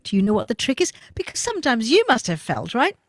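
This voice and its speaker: A woman telling a children's story, animated and talking directly to the children. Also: fairly high pitch, fast, dry recording, clean studio sound.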